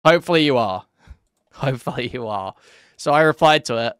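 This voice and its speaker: A man's voice: mid-range voice, lively intonation, fairly quick, fairly bright tone, clean tone, no echo, studio quality. A young man doing online drama commentary, mocking and crude, chuckling at his own jabs. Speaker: commentary YouTuber.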